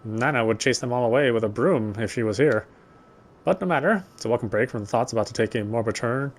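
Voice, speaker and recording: A man reading a horror game story aloud, with expressive, lingering narration. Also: medium pitch, fast. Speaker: let's player reading a visual novel aloud.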